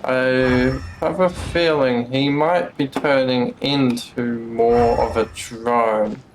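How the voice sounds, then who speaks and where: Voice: mid-range voice, steady tone, takes it slowly, a little hiss or hum. A streamer giving casual, blunt live commentary on a game. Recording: game music behind the voice.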